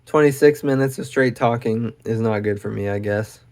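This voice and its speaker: A young man hosting an educational video, talking casually and enthusiastically about animals, with lots of fillers, his voice getting hoarse. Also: mid-range voice, normal speed, darkish tone. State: losing his voice. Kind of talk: casual unscripted explainer.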